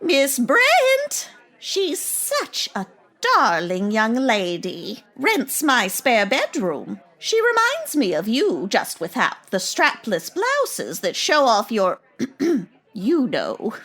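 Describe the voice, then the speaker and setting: A woman's voice: on the high side, huge pitch swings, takes it slowly, fairly bright tone, heavily acted. A woman playing a sweet, chatty, oblivious old grandmother in a radio comedy, doting and exclamatory.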